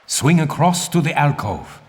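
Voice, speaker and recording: An actor playing a stern, condescending older archaeologist in a video game, giving formal, pompous instruction. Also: male voice, on the high side, very animated, unhurried. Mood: grave, imposing. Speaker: mentor and guide. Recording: long cavernous reverb.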